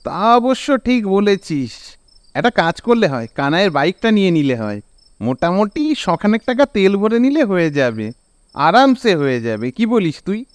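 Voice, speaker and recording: A man's voice: sits high, sing-song, normal speed, no room sound. A man with a Bengali accent voicing an easygoing, hesitant young village man in a cartoon. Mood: casual, defensive. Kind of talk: cartoon dialogue. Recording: clear background noise.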